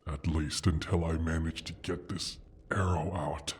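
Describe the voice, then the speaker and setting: A mighty wounded dragon with a low, very raspy, breathy voice. He is prideful and disdainful, speaking in slow, halting, theatrical phrases with open menace, as in a fantasy audio roleplay. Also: steady tone, darkish tone, heavily acted. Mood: weary, menacing. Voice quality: husky, breathy rasp. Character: proud, injured male dragon, menacing and haughty.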